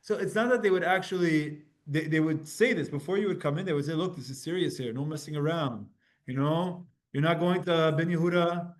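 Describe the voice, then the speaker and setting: A man teaching a lively Talmud class, switching between casual English and Hebrew terms and acting out the quotes with sudden bursts of energy. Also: a little fast. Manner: animated, acts out quotes with sudden jumps in pitch and loudness. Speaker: Torah teacher.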